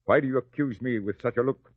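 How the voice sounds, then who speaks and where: An actor playing a proud but uneasy Roman governor who speaks condescendingly, in an old-time radio drama. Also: male voice, mid-range voice, quick pace, dry recording, close to an everyday voice. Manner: haughty and condescending, then hesitant. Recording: dry, dull lo-fi recording.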